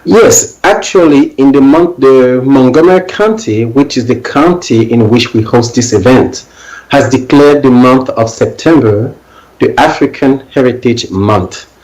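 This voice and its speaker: A man's voice: mid-range voice, unhurried, a little mellow, rough recording. Cameroonian-accented man answering interview questions. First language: French. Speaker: interview guest, event organizer.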